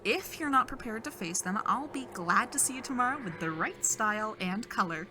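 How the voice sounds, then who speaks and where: A woman's voice: on the high side, animated, normal speed, background noise, close to an everyday voice. A woman playing a falsely cheerful, patronizing corporate manager in an audio drama, speaking in scripted polite phrases. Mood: dismissive. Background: music.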